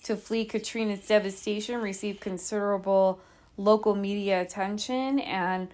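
A woman's voice: medium pitch, steady tone, normal speed, a little mellow. A woman hosting a podcast, telling a true crime case in an informal, conversational way with asides and rhetorical questions. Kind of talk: conversational storytelling from notes.

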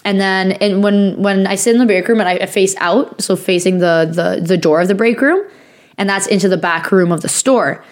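Young woman co-host, raspy and sniffly from a lingering cold, chatty, rambling delivery full of "like.". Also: mid-range voice, moderate pace. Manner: rambling storytelling with many fillers. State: hoarse, recovering from lost voice; sniffly from sinus infection. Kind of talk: casual chat.

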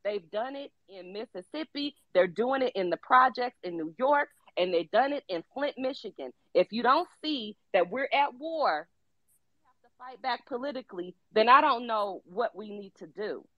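A woman's voice: fairly high pitch, lively intonation, moderate pace, no echo. Woman speaker, rallying and urgent, dry and muffled audio. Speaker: speaker in an online audio room. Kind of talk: political talk.

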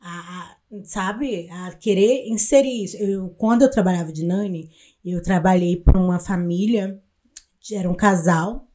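A woman's voice: fairly low pitch, measured pace, warm tone. A woman from in Brazil from that state, co-hosting a podcast and telling anecdotes in casual, slangy talk. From Brazil, Minas Gerais. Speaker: podcast co-host.

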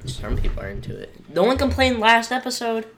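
A young man co-hosting a casual podcast, teasing his friends with deadpan insults. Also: extremely high pitch, brisk, slight background noise. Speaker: podcast co-host. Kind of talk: casual chat among friends.

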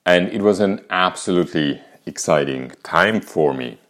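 A man in his fifties with an Austrian accent, hosting a podcast and calmly telling a candid personal story. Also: sits low, moderate pace. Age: 50s. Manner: calm, reflective, candid. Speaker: podcast host.

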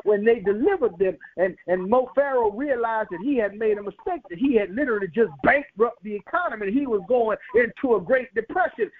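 A man preaches with a very high, lively voice that swings widely as he drives home repeated phrases. The sound is tinny and narrow, like a broadcast over a phone line. He speaks in a rhythmic, emphatic sermon cadence.

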